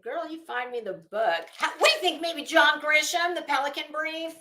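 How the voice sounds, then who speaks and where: Middle aged lady on a livestream, kinda high voice, sassy and sweary, roomy echo. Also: moderate pace, sharp tone. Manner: sassy, profane, wry. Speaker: livestream host. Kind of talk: casual livestream chat, rambling storytelling.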